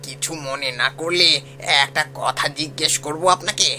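A man voicing the ghost of a humble village carpenter and doting father, polite, plaintive and earnest, in an animated horror story. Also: on the high side, moderate pace, a little hiss or hum. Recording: music behind the voice.

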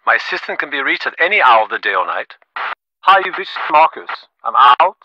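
Cocky tycoon on a muffled phone call, video game: glib, boastful, animated, higher-set male voice. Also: background noise. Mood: breezy. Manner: boastful, glib, fast-talking salesman patter. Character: brash, self-important rich businessman. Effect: phone filter. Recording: muffled, band-limited phone line.

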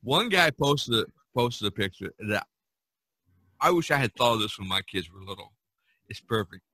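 An older man with a bright, forceful voice talks casually on a podcast. His manner is jovial, with chuckles slipping into his speech. The sound is close and dry. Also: mid-range voice, sing-song, moderate pace, breathy edge, no echo. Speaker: podcast co-host. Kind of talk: casual chat.

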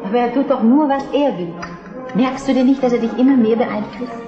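A woman's voice: a little high, fairly quick, music in the background, lightly acted. An actress playing an indignant, urgent Prussian queen in a German historical radio drama. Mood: reproachful, agitated. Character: insistent, indignant Prussian queen, wife of the king. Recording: dull lo-fi radio play, echoing hall.

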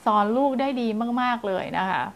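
A woman in her thirties, a child development teacher, giving parents advice to camera and now and then acting out lively story voices to show how. She speaks on a lapel mic in a small indoor studio. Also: fairly high pitch, moderate pace, clear, resonant tone. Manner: animated, acts out scary and playful story voices to demonstrate. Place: small indoor studio in front of blue backdrop.

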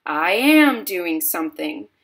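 A woman's voice: on the low side, big pitch swings, slow, heavily acted. A woman voicing a mild, puzzled, ineffectual father in a storybook, speaking matter-of-factly. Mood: mildly bemused.

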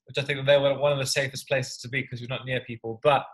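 A man's voice: mid-range voice, level tone, quick pace, fairly bright tone, slightly rough. A man in his early thirties, a personal trainer, talking casually as a guest over a video call from home, easygoing and rambling with repeats. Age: 30s. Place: home room, indoors. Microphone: video call webcam.

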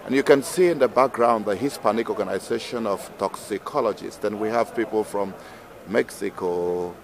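A man interviewing people at a booth, speaking loudly and eagerly. His voice is caught by a camera on a table. A loud crowd murmurs all around him in a big convention hall. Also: lowish voice, moderate pace. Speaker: interviewer, magazine editor. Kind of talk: informal on-the-spot interview. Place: busy convention hall exhibit floor. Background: crowd chatter.

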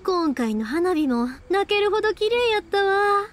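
A woman voicing a cheerful, chatty, kind young fireworks maker in a game, with a Kansai accent, friendly and expressive. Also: extremely high pitch, unhurried, full character voice. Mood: moved, delighted. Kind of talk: anime-style game voice acting. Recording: soft music behind the voice. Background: background game music.

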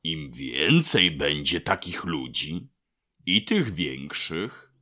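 Wise old talking tree played by a man: low, forceful, knowing, for a children's audio play. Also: sing-song, full character voice. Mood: serious. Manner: slow, measured, all-knowing, pompous.

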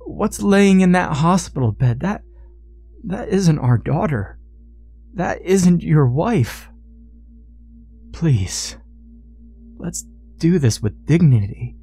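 A man voicing a level-headed older father-in-law in a story, pleading gravely and earnestly. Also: a little high, lively intonation, slow pace, long pauses, mellow, pitched above the performer's own voice, exaggerated voice.

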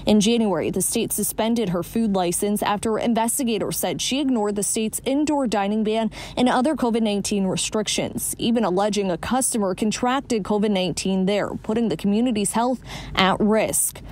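A woman's voice: mid-range voice, moderate pace, a little mellow. American woman reporting TV news, faint noise.

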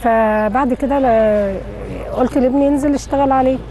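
Middle-aged Egyptian woman, Port Said accent, soft dark tone, casual interviewee, faint street noise behind. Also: high-pitched, fairly even intonation, fairly quick, rough recording. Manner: plain-spoken, short colloquial answers. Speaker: taxi driver being interviewed. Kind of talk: casual personal storytelling. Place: city street, outdoors.

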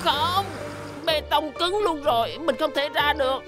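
A woman's voice: high-pitched, unhurried, over music. A woman voicing a young, childlike talking truck in a Vietnamese cartoon dub, muttering dramatically and easily scared. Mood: scared, pleading.

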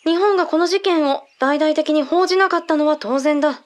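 High-voiced, blunt female agent played by an actress. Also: a little fast, lightly acted. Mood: assured, blunt. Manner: blunt, mannish phrasing, explanatory. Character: brisk, matter-of-fact female intelligence agent briefing a colleague. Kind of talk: Japanese radio drama acting.

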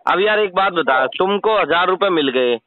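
A man with a fairly high voice talks over a narrow, tinny phone connection. He argues in an informal way, probing and pressing the other person to hear him out. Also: lively intonation, moderate pace, no echo. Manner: informal, probing, repeatedly demanding to be heard. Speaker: scam-baiter confronting a caller. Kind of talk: casual phone argument. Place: recorded phone call.

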